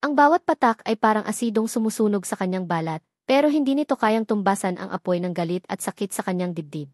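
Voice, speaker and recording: A woman's voice: medium pitch, animated, fast talker, a little mellow, dry recording, clean studio sound. A woman with a Filipino accent reading a scripted romance story as a narrator, in a solemn, melodramatic manner.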